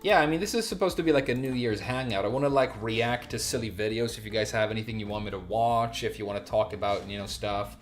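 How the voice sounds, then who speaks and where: Low-voiced male streamer, halting and off-the-cuff. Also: soft background music. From Sweden. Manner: halting, searching for words with repeated false starts. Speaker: live streamer. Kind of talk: casual unscripted livestream chat and game commentary.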